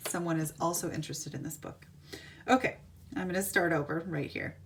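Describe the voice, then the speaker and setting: A woman reading a picture book aloud to young children as a teacher, with animated, dramatic storytelling. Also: lowish voice, fairly quick.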